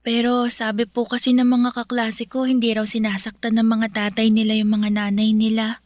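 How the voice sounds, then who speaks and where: A woman's voice: a little high, little pitch movement, normal speed, a little mellow, no echo, clean studio sound, close to an everyday voice. An actress playing an innocent, questioning young schoolgirl with a Filipino accent, polite and earnest, in a radio drama. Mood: earnest, troubled.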